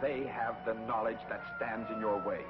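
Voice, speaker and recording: A man playing a scheming, flattering adviser to a villain in a 1950s TV drama. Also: fairly low pitch, moderate pace, over loud music, natural voice. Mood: persuasive. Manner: persuasive, flattering. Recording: dull old TV sound with long reverb.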